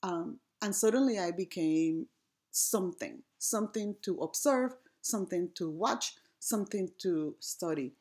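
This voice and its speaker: Woman from Rico, a touch low-pitched, presenting earnestly with frequent pauses. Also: a little mellow. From Puerto Rico. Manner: earnest, reflective, many ums and restarts. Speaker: design lecturer. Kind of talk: presentation talk over slides.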